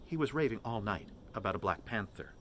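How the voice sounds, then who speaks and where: Man reading as a gloomy, low-voiced young man. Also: very animated, brisk, a little hiss or hum, full character voice. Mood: quiet, wary. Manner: quiet, sullen, terse. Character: weary, gloomy, resentful quiet young man.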